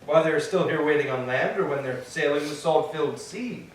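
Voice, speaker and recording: A man's voice: fairly high pitch, brisk, lightly acted. A man playing a grand, commanding sea god, speaking formally in a staged reading of Greek tragedy. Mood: formal, questioning. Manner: formal, declamatory. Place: echoing church interior. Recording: very echoey hall, distant mic.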